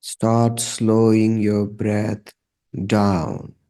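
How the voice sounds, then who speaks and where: A man leading a guided meditation in a calm, measured manner, leaving long pauses between short phrases. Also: on the low side.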